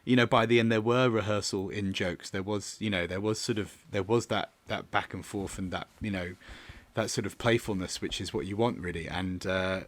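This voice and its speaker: A man's voice: lowish voice, fairly even intonation, moderate pace. A man talking reflectively in an interview as a director, hesitant and often repeating words as he searches for the next phrase. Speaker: theatre and audio drama director.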